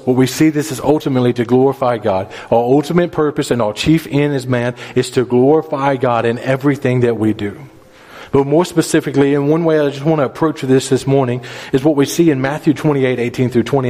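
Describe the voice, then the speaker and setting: A man's voice: medium pitch, darkish tone. A man preaching a sermon, explaining scripture verse by verse in an earnest, instructive manner with repeated phrases for emphasis. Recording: reverberant hall.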